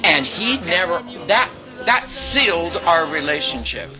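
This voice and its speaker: A woman in her eighties with a loud voice, telling stories from her life in a chatty way and acting out what people said to her. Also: lowish voice, measured pace, music in the background. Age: 80s. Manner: chatty, lively, quotes people in dialogue. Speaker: interviewee. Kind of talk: reminiscing storytelling.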